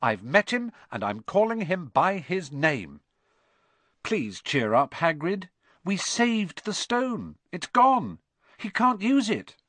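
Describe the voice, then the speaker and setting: A man's voice: sits high, very animated, slow, a bit bright, no room sound, voice pushed up, exaggerated voice. A man voicing a plucky, caring young boy in an audiobook, speaking in an earnest, direct manner. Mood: defiant.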